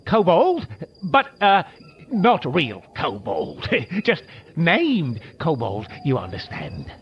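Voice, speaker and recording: Male actor as skittish dragonborn underling, stammering, slow, wildly varied pitch; muffled, light music bed. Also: wildly swooping pitch, heavily acted. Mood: flustered. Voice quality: stuttering, nervous laughter. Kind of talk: audiobook drama acting.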